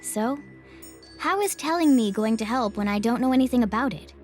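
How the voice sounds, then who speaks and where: A woman's voice: fairly high pitch, lively intonation, brisk, lightly acted. A woman playing a composed, condescending game guide who is secretly a villain, in an anime game dub. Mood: polite, aloof. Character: game guide girl revealed as smug, scheming villain. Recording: dramatic background music.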